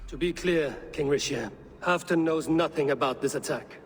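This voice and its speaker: An actor playing a blunt, honest Viking raider hero who talks in a firm, plain way. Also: male voice, a little high, normal speed, faint music behind, lightly acted.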